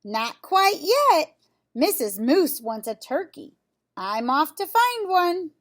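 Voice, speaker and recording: A woman's voice: high-pitched, big pitch swings, slow, pitched above the performer's own voice, heavily acted. A woman voicing a big, genial male moose who is eager, polite and hearty in a read-aloud storybook. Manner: hearty, calling out.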